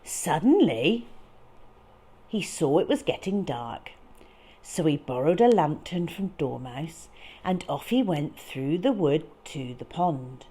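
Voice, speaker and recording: A woman reading a picture book to young children in a dramatic, expressive way with deliberate phrasing. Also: low-pitched, husky. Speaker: children's storyteller.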